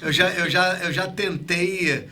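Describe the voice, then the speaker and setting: A man's voice: sits high, steady tone, sharp tone, a little hiss or hum. A man with a Carioca accent hosting a podcast, asking his guests questions and sharing his own experiences in casual chat. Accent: Carioca (Rio de Janeiro). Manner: inquisitive, quick, leads questions.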